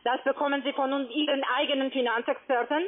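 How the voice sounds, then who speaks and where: A woman with a foreign, non-native German accent giving a halting telephone sales pitch, repeating and rephrasing as she explains. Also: steady tone. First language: not German. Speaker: call-centre sales agent pitching trading. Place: phone call. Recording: tinny, narrow-band phone line.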